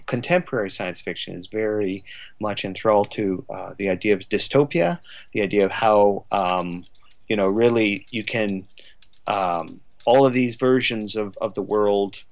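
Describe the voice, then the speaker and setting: Low-voiced man, halting and discursive. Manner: halting, thinking aloud, discursive. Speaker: guest writer of a radio drama. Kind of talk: conversational interview.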